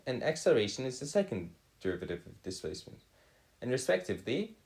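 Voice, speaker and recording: A man tutoring a math and physics lesson in formal, old-fashioned wording, often halting and correcting himself. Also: medium pitch, a little mellow, poor audio.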